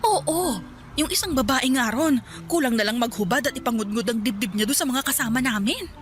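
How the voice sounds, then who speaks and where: A slangy, chatty older girlfriend in a radio drama. Her voice sits on the high side, with music clearly playing behind her. She sounds like someone out of place among youngsters. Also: animated, fairly quick. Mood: bored, gossipy. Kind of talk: Tagalog radio drama dialogue.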